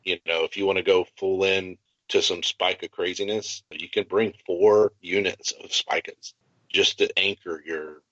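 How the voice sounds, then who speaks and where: A man's voice: low voice, fairly even intonation, a little slow, fairly bright tone, dry recording, muffled recording. A man co-hosting a podcast, explaining tabletop gaming options enthusiastically in casual chat. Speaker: podcast co-host.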